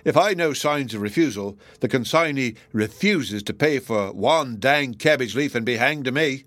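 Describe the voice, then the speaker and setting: Casting: man to play a sly, stubborn freight agent, grumbling brogue, mid-pitched. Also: animated, moderate pace, a bit bright, no room sound, close to an everyday voice. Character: blustering Irish express agent, stubborn and sly.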